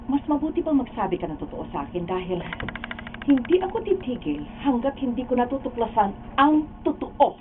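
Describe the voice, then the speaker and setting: A woman's voice: a little high, big pitch swings, brisk. A woman playing an earnest, inquisitive friend with an emphatic, probing delivery in a radio drama. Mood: confrontational. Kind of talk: radio drama acting in Tagalog. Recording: noisy, narrow-band, distant behind-the-scenes camera audio.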